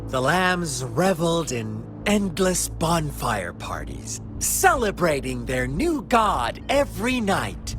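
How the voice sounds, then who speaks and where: An actor voicing a theatrical, menacing video game villain who tells dark parables in a playful, taunting way with drawn-out phrasing. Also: male voice, fairly high pitch, full character voice. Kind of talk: dramatic video game voice acting.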